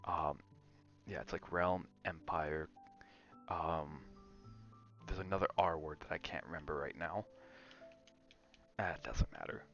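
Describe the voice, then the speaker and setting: A man's voice: extremely low pitch, a little slow, creaky, pauses often, fairly bright tone, highs cut off, soft background music. A man chatting casually on an ASMR gaming livestream, rambling and drifting between chat and game reactions. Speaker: game streamer.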